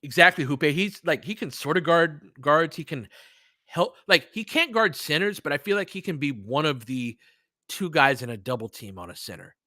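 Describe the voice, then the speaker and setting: A man's voice: fairly high pitch, sing-song, moderate pace, sharp tone, dry recording, studio quality. A man hosting a live sports stream, chatting casually and informally, thinking aloud as he gives his commentary. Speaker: sports podcast host. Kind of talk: casual live basketball commentary, replying to chat.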